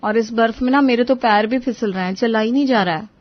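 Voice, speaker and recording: A woman's voice: on the high side, fast talker, no echo, close to an everyday voice. A reasonable young husband in a moral-story cartoon, voiced by a woman. He explains and placates in an everyday way. The sound is dry and a touch dull. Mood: agreeable.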